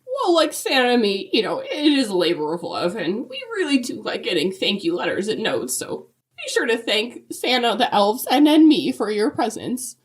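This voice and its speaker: A woman's voice: on the high side, wildly swooping pitch, moderate pace. A woman playing a kindly, prim, folksy Mrs. Claus in a holiday interview, with genteel, dithering, old-fashioned phrasing. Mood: folksy, proud.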